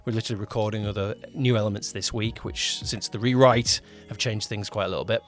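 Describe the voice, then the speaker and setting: A man's voice: fairly low pitch, steady tone, fast talker, soft background music. A man co-hosting a casual behind-the-scenes podcast as a producer, rambling and often rewording himself mid-sentence. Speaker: podcast co-host, audio drama producer-director.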